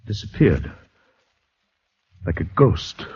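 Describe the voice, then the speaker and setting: An actor playing an anxious, shell-shocked Canadian soldier telling his story haltingly in a vintage radio suspense drama, on a dull recording. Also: deep voice, sing-song, a little mellow, slightly rough, dry recording, heavily acted. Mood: rising panic. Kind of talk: 1940s radio drama acting, first-person suspense narration. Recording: dull vintage radio, faint music behind.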